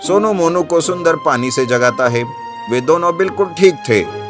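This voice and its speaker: A man narrating an animated children's story from a script. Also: on the high side, moderate pace. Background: background music.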